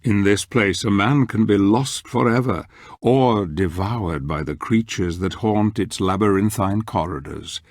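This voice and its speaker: Solemn older storyteller for a dark fantasy game, lowish voice, very dry close sound, grave and measured. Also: male voice, no echo. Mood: grave, mournful. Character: solemn older male narrator of a gothic fantasy. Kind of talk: scripted dramatic narration.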